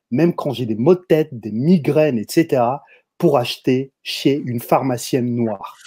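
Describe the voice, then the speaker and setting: Man, Parisian accent, husky, emphatic, lecturing tone, guest commentary. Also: mid-range voice, mellow. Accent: Parisian French. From France. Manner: emphatic, lecturing the audience directly. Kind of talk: opinionated discussion commentary.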